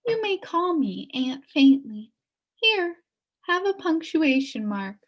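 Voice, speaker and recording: A woman's voice: high voice, big pitch swings, slow, heavily acted. A woman voicing a sad, wistful elderly woman recounting her downfall in a storybook. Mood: sad, resigned. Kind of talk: storybook character reading.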